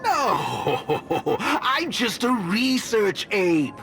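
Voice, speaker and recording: A man's voice: high-pitched, big pitch swings, vocal fry, full character voice. A man voicing a smug, scholarly ape professor villain in a video game, lecturing in a pompous, theatrical way. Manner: pompous, lecturing, slow and deliberate. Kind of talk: dramatic video game voice acting. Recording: loud music underneath.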